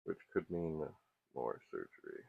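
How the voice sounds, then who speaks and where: Middle-aged guy, raspy and creaky, kinda muttering through a tech demo, halting, tinny phone-like sound. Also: a bass voice, expressive, dry recording. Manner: musing aloud, halting, self-questioning. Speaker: host of a vintage computer repair channel. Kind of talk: casual unscripted hands-on tech commentary. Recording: muffled, phone-like narrow band.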